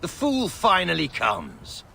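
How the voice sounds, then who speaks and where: Mocking villain: slow, forceful, menacing video game delivery, faint noise behind. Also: male voice, a little high, huge pitch swings, gravelly, full character voice. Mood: mocking menace. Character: menacing, mocking male villain taunting his enemy. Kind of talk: dramatic video game acting.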